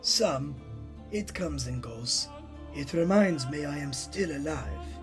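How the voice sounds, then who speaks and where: A man's voice: a little high, animated, takes it slowly. A man playing a frail, dying old Italian master painter in an audio drama, weary, wry and theatrical. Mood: weary, tender. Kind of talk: scripted audio drama dialogue. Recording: music behind the voice.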